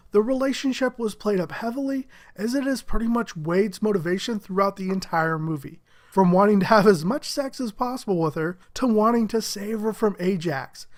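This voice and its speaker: A man giving a casual, upbeat movie review, his voice a little muffled from a head cold, chuckling now and then. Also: sits high. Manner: upbeat, enthusiastic, chuckles often.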